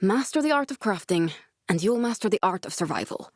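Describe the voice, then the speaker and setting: An actress playing an earnest, guarded woman scientist in a video game, speaking in a measured, serious, instructive way. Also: mid-range voice, expressive, no echo, studio quality. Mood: focused, briefing.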